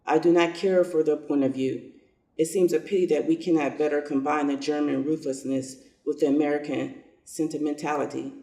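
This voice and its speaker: A man playing a self-interested, lordly old general in a reader's theater piece, pompous and deliberate. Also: on the high side, fairly even intonation, dark tone, close to an everyday voice. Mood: coolly scheming. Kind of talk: reader's theater stage reading. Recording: echoey room, distant mic.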